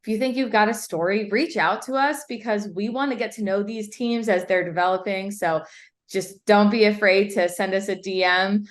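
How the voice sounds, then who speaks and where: Woman sports co-host, casual, upbeat, mid-pitched. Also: moderate pace. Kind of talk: casual show chat.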